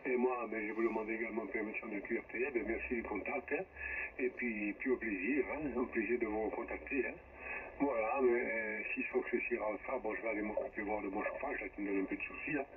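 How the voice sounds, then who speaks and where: A man speaks as a radio amateur in relaxed, casual exchanges. His voice arrives through a muffled shortwave link, with clearly audible hiss and static. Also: fairly low pitch, fairly quick. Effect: shortwave radio transmission. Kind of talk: casual ham radio chat. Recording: muffled, noisy ham radio reception.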